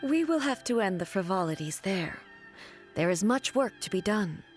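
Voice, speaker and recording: A woman's voice: medium pitch, lively intonation, soft background music, lightly acted. A woman voicing a composed regal ruler. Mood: calm regal. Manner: formal, measured, dignified. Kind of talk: video game voice acting.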